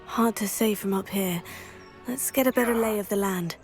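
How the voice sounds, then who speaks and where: A woman playing a resolute, cautious teenage witch in a video game, calm and matter-of-fact. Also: medium pitch, moderate pace, soft background music, natural voice. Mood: watchful. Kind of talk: video game character acting.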